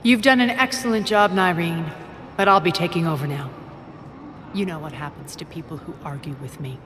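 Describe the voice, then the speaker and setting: A woman's voice: on the low side, moderate pace, fairly bright tone, slight background noise, close to an everyday voice. A woman playing a ruthless, imperious alien crime queen in a video game, commanding, haughty and contemptuous. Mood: cold, threatening. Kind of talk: cinematic video game acting. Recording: game music behind the voice.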